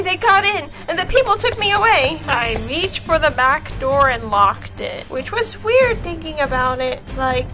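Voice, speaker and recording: A young adult woman narrating an animated story in a dramatic, expressive, casual way over background music. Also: sits high. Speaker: storyteller, animation channel creator.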